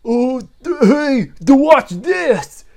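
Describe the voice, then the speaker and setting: A woman's voice: high voice, sing-song, drawn-out words, voice pushed up, full character voice. A woman voicing a dim, boastful pirate henchman who brags about his strength. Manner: dopey, shouty boasting.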